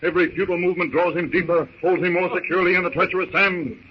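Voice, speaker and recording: A man's voice: high voice, steady tone, rapid-fire, husky, highs cut off. A man narrating a 1930s radio adventure serial in a theatrical, urgent manner with emphatic short phrases, on an old radio recording.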